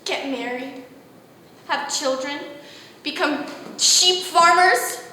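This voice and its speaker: A woman's voice: sits high, slow, sharp tone, slight background noise, exaggerated voice. A woman playing a distraught android woman. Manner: articulate, pleading, increasingly distraught. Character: android woman, built to look nineteen, anguished. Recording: distant, echoey, long reverb.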